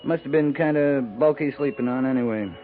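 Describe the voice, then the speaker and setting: An actor playing a wry, fond American cavalry captain in a 1950s radio drama, dry and gently teasing. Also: male voice, medium pitch, fairly quick, phone-quality sound, faint music behind, close to an everyday voice. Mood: fond, amused.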